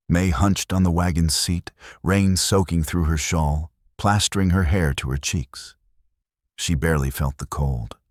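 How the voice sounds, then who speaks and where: A man narrating a scripted western story in a solemn, suspenseful, dramatic way with deliberate phrasing. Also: very deep voice, dry recording, clean studio sound.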